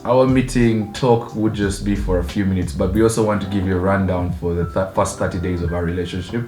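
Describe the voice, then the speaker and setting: A young man from Uganda shares a story on his couple's channel, talking casually with a somewhat low voice and easy laughs. The room sounds echoey, and soft guitar music plays underneath. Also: brisk. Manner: relaxed, chatty, laughs often.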